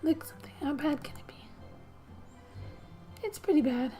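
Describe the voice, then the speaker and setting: A woman softly narrating a game as ASMR host, breathy and often near a whisper, with gentle, unhurried, playful asides. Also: high voice, lively intonation, soft background music. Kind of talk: soft-spoken ASMR game narration, reading text aloud with asides.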